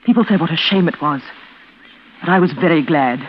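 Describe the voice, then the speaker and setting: A woman's voice: deep voice, a little fast, a little hiss or hum, natural voice. An actress playing a bitter, scheming woman caring for her mad sister, in melodramatic, intense 1940s radio suspense style. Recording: tinny vintage radio recording, loud organ music.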